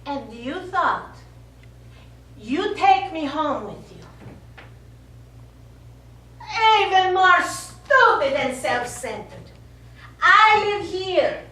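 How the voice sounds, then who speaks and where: A proud, bitter middle-aged Italian woman played by an actress accuses in terse, scornful phrases. Her voice is high and bright, caught from far off in a very reverberant theatre. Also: full character voice. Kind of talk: stage play acting. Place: small theatre stage, recorded from afar. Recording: echoey stage, distant mic, faint noise.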